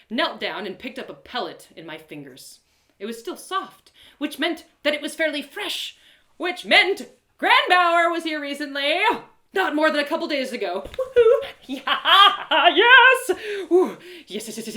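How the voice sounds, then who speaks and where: A woman voicing an excitable, plucky young girl adventurer who narrates her quest with dramatic, colloquial asides. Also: medium pitch, echoey room. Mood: giddy excitement. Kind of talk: first-person dramatic story narration.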